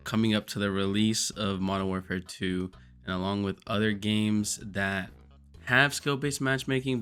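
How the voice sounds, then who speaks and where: A man with a hoarse voice from screaming, giving rambling, profane, jokey gaming commentary as a streamer. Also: lowish voice, bright, forceful tone.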